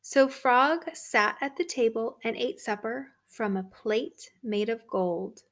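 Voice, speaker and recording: Slow, high-voiced woman reading a storybook. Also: a bit bright. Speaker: storybook reader for third graders. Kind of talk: read-aloud storytelling for children.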